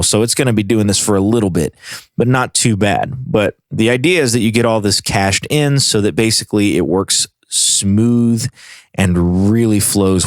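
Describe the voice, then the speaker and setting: Man with a lowish voice, casual tutorial. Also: no echo, clean studio sound. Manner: informal, explaining step by step. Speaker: tutorial presenter. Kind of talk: casual software tutorial narration.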